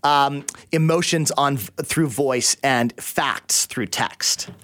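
A Canadian man chatting casually as a radio contributor, emphatic and animated as he makes his points. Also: mid-range voice, takes it slowly, no room sound. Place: dry radio studio.

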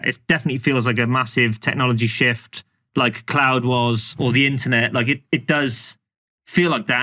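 A man's voice: medium pitch, sharp tone. A man speaking as a podcast guest in a casual, musing tech discussion.

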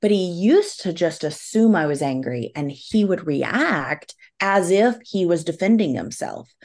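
A woman's voice: on the low side, lively intonation, a little slow, darkish tone. Earnest woman explaining at length. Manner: earnest, speaks in long explanatory runs with fillers. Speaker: guest author on parenting. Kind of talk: conversational podcast interview.

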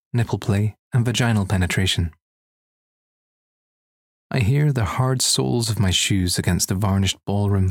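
A man's voice: fairly low pitch, no room sound, clean studio sound. A man working as an audiobook narrator, reading a scripted first-person erotic story.

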